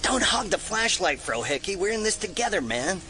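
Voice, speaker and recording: An actor playing an excitable, whiny hippie conspiracy geek in an audio drama. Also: male voice, high-pitched, normal speed, soft background music, exaggerated voice. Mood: irritated. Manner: complaining, excitable.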